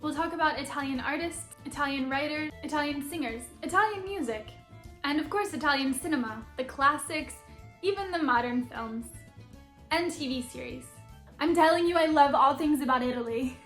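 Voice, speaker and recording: An enthusiastic young woman from Philadelphia chats brightly to camera about her channel. Her voice sits high and rings around an echoey room. Soft music plays faintly underneath. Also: normal speed. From Philadelphia, United States. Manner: enthusiastic, bubbly, laughs often. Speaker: vlogger and Italian teacher. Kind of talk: upbeat vlog introduction to camera.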